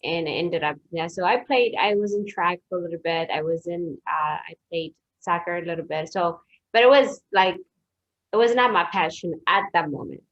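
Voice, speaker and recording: A young woman from Ethiopia, a personal trainer, talking as a guest in a soft, hesitant, casual way. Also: on the low side, moderate pace. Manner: soft-spoken, hesitant, laughs easily. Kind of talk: casual interview chat. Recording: dull lo-fi remote audio.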